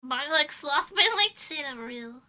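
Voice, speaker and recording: A woman with a high, strikingly bright and sharp voice talks casually on a livestream. She rambles through her thoughts bluntly, swearing freely as she answers chat. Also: expressive, takes it slowly. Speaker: livestreamer, illustrator.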